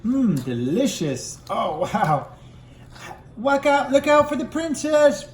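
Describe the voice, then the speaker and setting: Man, playful puppeteer for children, animated exclamations, improvised feel, roomy echoing sound. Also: very high-pitched, slow pace. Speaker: father puppeteering toy ponies for a kids' video.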